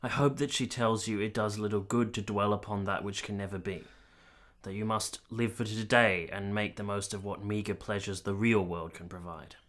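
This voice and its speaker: An actor playing an earnest, tormented soldier of 1870s Italy in a radio drama, speaking in a formal, measured, literary manner. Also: male voice, on the low side, steady tone, fairly bright tone, lightly acted. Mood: subdued, tender. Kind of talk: dramatic radio play narration and dialogue.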